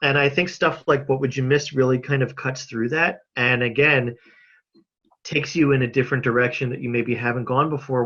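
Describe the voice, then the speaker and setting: A man talking earnestly and casually as an interview guest on a video call from home, thoughtful and halting with emphatic pauses. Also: medium pitch, fairly bright tone. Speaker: interview guest, mental health advocate and filmmaker. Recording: slightly dull video-call audio.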